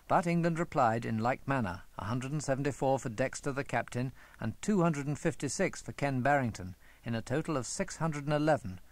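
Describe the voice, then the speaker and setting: Male documentary narrator, scripted delivery, dry close studio sound, medium pitch. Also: no echo. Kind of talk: scripted narration of cricket history.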